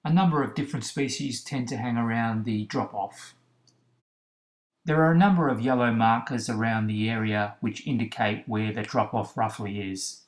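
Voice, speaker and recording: A man, host of a fishing channel, narrating instructional tips in a steady, explanatory way. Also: mid-range voice, normal speed. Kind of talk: instructional voice-over about kayak fishing spots. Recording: roomy, echoey recording.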